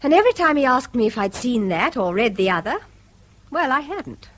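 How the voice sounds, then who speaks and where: An actress playing a weary, self-deprecating middle-aged English wife in a radio drama, with a dry, exclamatory delivery. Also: female voice, on the high side, big pitch swings, brisk. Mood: self-deprecating, dejected. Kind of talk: British radio drama acting.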